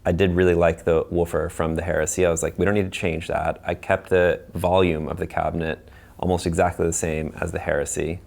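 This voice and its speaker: A man talks in a very deep voice with plenty of creak. He sounds like a designer informally walking someone through his technical decisions in casual conversation. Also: level tone, a little fast, a little mellow. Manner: explanatory, informal. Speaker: audio designer.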